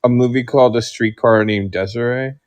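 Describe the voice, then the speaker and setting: A man's voice: mid-range voice, fairly even intonation, fairly quick, darkish tone, dry recording, muffled recording. A man who is a guest on a talk show and speaks in a playful, joking way. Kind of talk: casual video-call talk.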